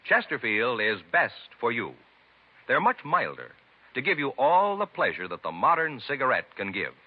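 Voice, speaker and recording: A man delivering an emphatic, salesmanlike advertising pitch as a 1950s radio announcer, with a husky voice. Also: huge pitch swings, moderate pace. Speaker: commercial announcer. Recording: muffled vintage radio recording.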